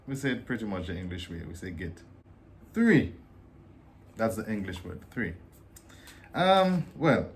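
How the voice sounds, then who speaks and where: Dominican man, low voice, relaxed and explanatory, faint room hiss. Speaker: co-host. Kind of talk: casual explaining to camera.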